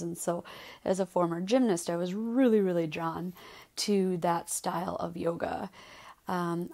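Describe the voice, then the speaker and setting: A woman's voice: on the low side, vocal fry, darkish tone. A woman in her thirties with a Wisconsin accent, a yoga teacher chatting casually about her path, with many ums and likes. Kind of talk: casual personal podcast monologue.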